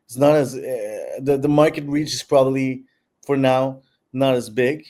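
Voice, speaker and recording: Mellow-voiced man explaining at length. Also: medium pitch, unhurried. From Peru. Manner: explanatory, many fillers and restarts. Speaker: founder of a bitcoin platform. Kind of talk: interview answers.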